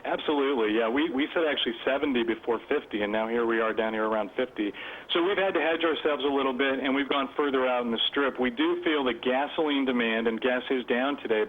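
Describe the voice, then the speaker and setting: A man giving market analysis as an interview guest over the telephone. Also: medium pitch. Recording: tinny phone line, faint noise.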